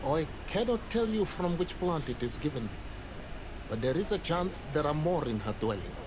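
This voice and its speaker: A solemn island native man guards sacred lore in a video game. His voice sits a little high, and he speaks in formal, stern, measured phrases. Clear background ambience runs behind him. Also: big pitch swings, background noise. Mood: solemn warning. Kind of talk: video game character dialogue.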